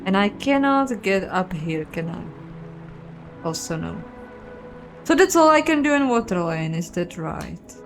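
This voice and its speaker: Casting: female game streamer, unhurried, mid-pitched, thinking out loud, soft game music underneath. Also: very animated. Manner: musing aloud, questioning herself. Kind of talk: casual playthrough commentary, reading game text aloud.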